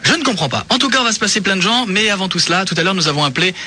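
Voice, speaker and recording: Male radio host, high and piercingly bright, playful and mock-scandalized. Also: lively intonation, rapid-fire, slightly rough. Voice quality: playful, laughing, mock-outraged. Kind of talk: joking radio chat.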